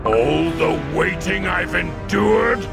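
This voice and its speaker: A gravelly game villain, a bloodthirsty warlord who gloats theatrically. He draws his threats out slowly and swoops between low menace and grand roars. Loud music plays behind him. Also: lively intonation, heavily acted. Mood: bored, menacing. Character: arrogant bloodthirsty destroyer villain, warlord hungry for thrill. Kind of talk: video game voice acting.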